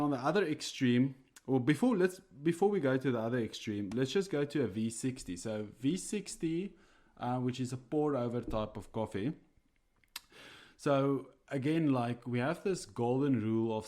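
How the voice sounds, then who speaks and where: A man's voice: mid-range voice, a little mellow. A man whose first language is Afrikaans, co-hosting a podcast in casual, hesitant chat full of fillers and chuckles. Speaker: coffee roaster podcast co-host.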